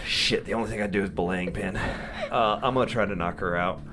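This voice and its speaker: A man's voice: on the low side, a little fast. A man playing a tabletop game, chatting casually with exasperated, sweary exclamations. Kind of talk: casual, animated game chat. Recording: very noisy group recording with clicks.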